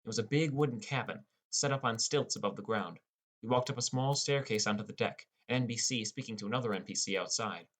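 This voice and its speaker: A man's voice: medium pitch, fast talker, a bit bright. A man narrating a scripted first-person story as an audiobook narrator.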